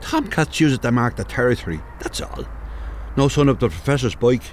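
A man's voice: medium pitch, very animated, a little fast, darkish tone, a little hiss or hum, natural voice. An actor playing a bossy, scoffing, know-all Irish man in a comedy audio drama. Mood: impatient. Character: bossy, dismissive Irish man sneaking about, secretly jittery.

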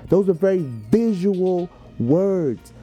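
A man's voice: sits high, takes it slowly, warm tone, soft background music. An American man hosting a podcast, giving an informal, emphatic motivational talk about what words mean, repeating phrases to drive them home. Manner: conversational, informal. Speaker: podcast host. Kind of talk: motivational talk explaining a poem.

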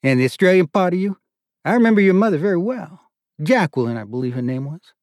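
A commanding middle-aged army colonel, as a male narrator puts him on, his voice raised in pitch and loud. He fires off brusque, official questions. It sounds dry and close, like an audiobook read in a studio. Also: sing-song, fast, dry recording. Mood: jovial.

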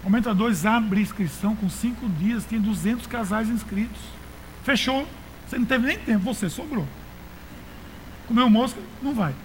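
High-voiced Brazilian man preaching. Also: normal speed, slight background noise, low-quality recording. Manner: colloquial, exhorting, repeats phrases for emphasis. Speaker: pastor. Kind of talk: conversational sermon to a congregation.

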